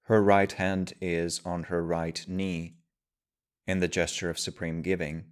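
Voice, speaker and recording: A man guiding a meditation as a teacher, speaking in measured, deliberate phrases with pauses. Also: sits low, little pitch movement, clear, resonant tone. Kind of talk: guided meditation, slow spoken instruction.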